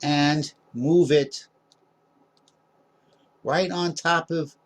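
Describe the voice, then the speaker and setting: A man's voice: a little high, fairly even intonation, drawn-out words. Rambling man talking through a tutorial. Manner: rambling, many "uh" fillers, self-deprecating jokes. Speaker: tutorial host. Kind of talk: casual screen-recorded software tutorial.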